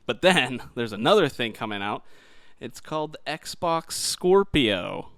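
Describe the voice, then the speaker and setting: A man's voice: medium pitch, big pitch swings, a little slow, fairly bright tone, no room sound, clean studio sound. A man co-hosting a podcast in casual, conversational chat about games. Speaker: podcast co-host.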